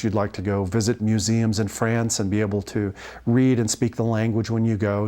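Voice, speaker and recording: A man giving an explanatory health lecture as a physician, speaking in measured phrases with pauses mid-sentence. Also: on the low side, darkish tone. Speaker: family physician and instructor.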